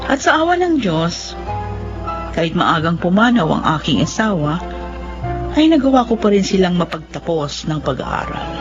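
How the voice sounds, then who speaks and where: A woman's voice: fairly low pitch, very animated, moderate pace, over music. An actress playing a stubborn, lovestruck middle-aged widowed mother in an emotive, insistent Filipino radio drama style. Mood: wistful. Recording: dull lo-fi radio drama sound.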